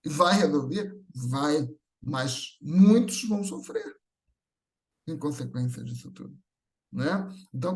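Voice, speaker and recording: A man's voice: on the high side, a little mellow. A Brazilian man giving an extemporaneous religious talk in an earnest, reassuring manner, often building through repeated phrases. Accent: Brazilian Portuguese. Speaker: guest speaker at a Spiritist gathering.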